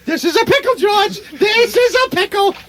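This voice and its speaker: A woman playing a high-pitched, flustered Uncle Billy. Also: expressive, moderate pace. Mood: panicked. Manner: stammering, exclaiming, flustered. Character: panicky, flustered old Uncle Billy, male. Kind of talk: comic radio-show reading of a film script.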